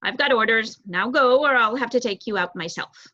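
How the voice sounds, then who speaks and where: A woman's voice: a little high, normal speed, bright, forceful tone. A woman voicing a blunt, bossy, oversized young male rat on guard in a storybook reading. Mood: firm, dismissive. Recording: roomy echo, dull muffled sound.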